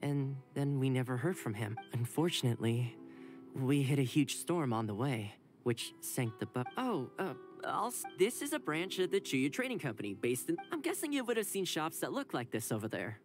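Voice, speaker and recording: A man's voice: medium pitch, very animated, normal speed, close to an everyday voice. A man acts as a modest young housekeeper in a video game. His delivery is friendly and conversational, with lots of melodic movement. Faint music plays behind him. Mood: easygoing. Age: young adult. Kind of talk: scripted game dialogue.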